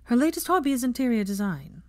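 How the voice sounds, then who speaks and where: A woman's voice: on the high side, sing-song, quick pace, voice pushed up. A woman voicing a kindly, no-nonsense young woman in an audiobook, earnest and articulate. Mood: exasperated amusement. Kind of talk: fan fiction audiobook character voice.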